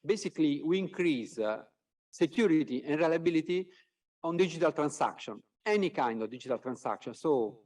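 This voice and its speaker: Higher-voiced man, distant mic. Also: expressive, brisk, a little mellow. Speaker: company executive presenting a business unit. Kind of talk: business presentation on a conference call. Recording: distant mic, conference call audio.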